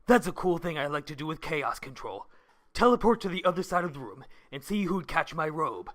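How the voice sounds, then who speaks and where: A man voicing a proud, boastful cartoon hedgehog with a pompous, formal, deadpan delivery. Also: a little high, fairly quick. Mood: smug, dry.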